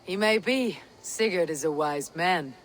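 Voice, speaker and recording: An actress playing a calm, steadfast Viking warrior in a video game, speaking in terse, formal, resolute lines. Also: female voice, fairly low pitch, measured pace, slight background noise, natural voice, poor audio. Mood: steady, resolute. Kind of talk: dramatic video game cutscene acting.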